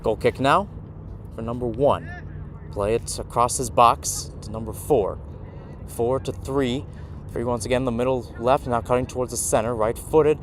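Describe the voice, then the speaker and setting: A man's voice: mid-range voice, steady tone, moderate pace. Man calling live soccer over outdoor noise. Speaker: play-by-play commentator. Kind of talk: live soccer radio commentary. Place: outdoors at a youth soccer field. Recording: noisy outdoor ambience, traffic hum.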